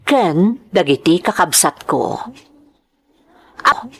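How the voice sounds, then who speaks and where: A woman acting an emotional, wronged wife and mother in a radio drama, with an Ilocano accent. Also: medium pitch. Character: distressed wife and mother, wronged by her husband. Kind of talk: radio drama acting and first-person narration.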